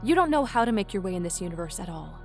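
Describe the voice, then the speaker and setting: A woman's voice: medium pitch, big pitch swings, fast talker, darkish tone, soft background music. A woman playing a sassy, boastful human spacefarer in a space comedy audio drama, brash and scornful. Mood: cocky.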